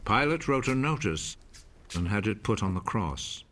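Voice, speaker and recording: A man narrating scripture for a film in a measured, solemn manner. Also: fairly low pitch, big pitch swings, fairly bright tone, slight background noise.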